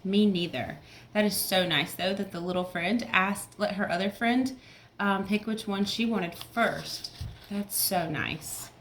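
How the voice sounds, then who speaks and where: A woman's voice: medium pitch, a little slow. Woman reading to young kids, background noise. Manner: simple child-directed phrasing with check-in questions. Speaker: teacher reading a picture book aloud to young children. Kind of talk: children's read-aloud with explanatory asides.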